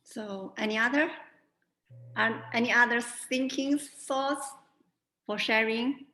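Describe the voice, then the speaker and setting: Woman host with a fairly high voice, echoey. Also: slow, sharp tone. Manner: hesitant, with many fillers and light laughs. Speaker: forum organizer and host. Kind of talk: closing remarks on a video call.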